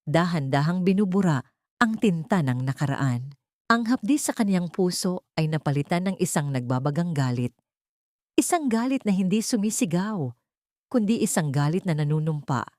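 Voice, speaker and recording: A woman's voice: fairly low pitch, expressive, normal speed, darkish tone, no room sound, clean studio sound. A woman storyteller reading a scripted dramatic audio story.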